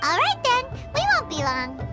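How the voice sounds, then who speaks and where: A tiny, bubbly fairy girl from a video game. The voice is extremely high and squeaky, bouncing all over in pitch with childlike excitement, over clearly audible music. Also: normal speed, heavily acted. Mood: excited. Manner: bubbly, speaks of herself in third person. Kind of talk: animated game voice acting. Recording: music playing behind.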